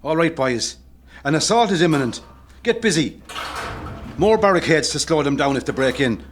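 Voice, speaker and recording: An actor playing a brusque, spirited Irish rebel commander in an audio play, with an Irish accent. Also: male voice, a little high, lively intonation, moderate pace, slight background noise, natural voice. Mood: urgent.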